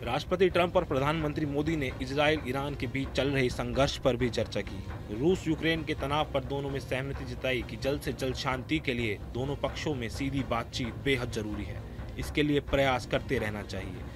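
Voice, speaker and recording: A man's voice: medium pitch, a little fast. Man reading news in a formal manner. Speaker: news presenter. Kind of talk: scripted news narration.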